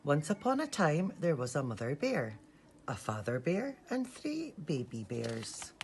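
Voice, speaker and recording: A woman reading a bedtime story to young children in a gentle, sing-song, expressive way. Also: deep voice, unhurried, slight background noise. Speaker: storybook reader from a school staff team.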